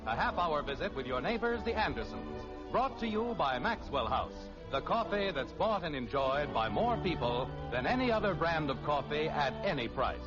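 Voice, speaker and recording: A man's voice: fairly high pitch, very animated, normal speed. A man announcing a radio show opening and sponsor in 1950s radio style. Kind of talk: show introduction. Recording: dull lo-fi old radio recording with music.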